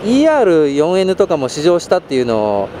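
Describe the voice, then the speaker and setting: A man's voice: on the high side, huge pitch swings, brisk. A man talking casually and at rambling length over a helmet intercom while riding a motorbike. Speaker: motovlogger. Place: outdoors on a motorbike on a night expressway. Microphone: helmet intercom headset. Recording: loud motorbike road and engine noise.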